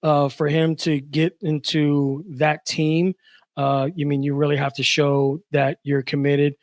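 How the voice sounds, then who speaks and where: Man, from the Bronx, podcast guest, slightly high voice, chatty, filler-heavy, dry sound. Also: completely flat delivery, measured pace, no room sound. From the Bronx, New York. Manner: chatty, fills his talk with "you know" and "uh". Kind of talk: casual podcast chat.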